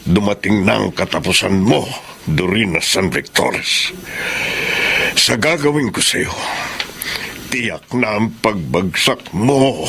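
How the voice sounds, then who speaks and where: A low, bright-edged villain gloats through a radio drama. He is an older political schemer, menacing and theatrical, with a creaky edge and faint ambience behind him. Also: animated, slow, pauses often, a little hiss or hum, exaggerated voice. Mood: gloating, vengeful. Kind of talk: Filipino radio drama acting.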